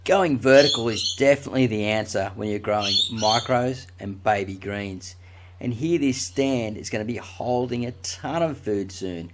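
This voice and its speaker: A man hosting a casual gardening tutorial, chatty and full of fillers, his voice slightly croaky. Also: fairly low pitch, reverberant, distant mic. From Australia. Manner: chatty, many "uh" and "you know" fillers. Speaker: gardening channel host.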